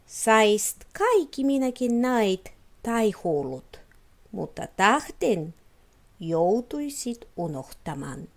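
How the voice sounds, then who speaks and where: A woman's voice: medium pitch, big pitch swings. A woman voicing a mysterious mirror creature in a storybook, putting solemn, probing questions.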